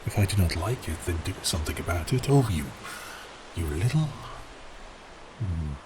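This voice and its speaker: A man's voice: deep voice, sing-song, normal speed, background noise. A man playing a giant cursed sea beast prince in an ASMR roleplay, theatrical, melancholy and exasperated. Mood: exasperated, bemused.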